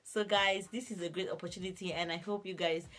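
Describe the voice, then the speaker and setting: A woman's voice: fairly low pitch, steady tone, brisk, fairly bright tone. A woman with a voice cracked from a cold, explaining a giveaway in a casual, hesitant way with many fillers. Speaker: beauty vlogger, host.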